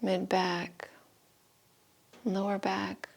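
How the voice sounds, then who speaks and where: A woman yoga teacher giving guided meditation and breathing instructions in an unhurried, soothing manner with long pauses. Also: medium pitch, flat delivery, vocal fry, breathy edge. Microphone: live video call stream.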